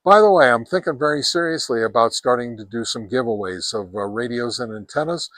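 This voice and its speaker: Male reviewer, mid-pitched, casual and folksy, emphatic repetition, dry outdoor recording without echo. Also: lively intonation, brisk, fairly bright tone. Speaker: product reviewer and host. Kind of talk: casual hands-on radio gear review. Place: outdoors on a deck.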